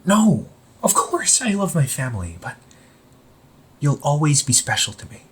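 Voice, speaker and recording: A man playing a young supervillain in an amateur audio roleplay, husky and breathy, speaking earnestly and haltingly to the listener. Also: on the high side, wildly swooping pitch, exaggerated voice. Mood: tender, reassuring. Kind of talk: amateur audio roleplay, intimate one-sided dialogue to listener.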